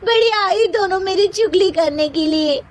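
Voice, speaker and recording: A woman's voice: extremely high pitch, measured pace, voice pushed up. A woman voicing squabbling young daughters-in-law in a cartoon story, with a whiny, touchy, complaining manner. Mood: indignant.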